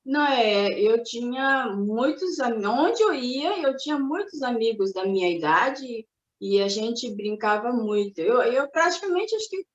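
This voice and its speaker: A woman's voice: on the high side, big pitch swings, unhurried. Reflective older Japanese-born woman, roomy sound. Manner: reflective, hesitant, with many restarts and fillers. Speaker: interviewee. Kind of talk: recalling her family's immigration. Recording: roomy, dull recording.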